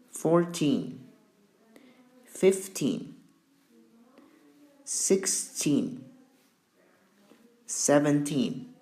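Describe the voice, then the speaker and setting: A man teaching a beginner English lesson, speaking slowly and deliberately with long pauses between words. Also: on the high side, a little mellow.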